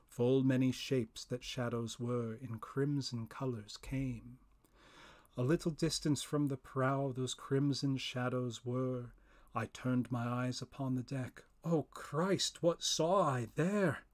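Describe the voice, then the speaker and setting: Man, middle-pitched voice, slow deliberate pace, solemn, theatrical narrative poetry reading. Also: level tone, darkish tone. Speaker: poetry reader, professor. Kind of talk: dramatic reading of narrative poem.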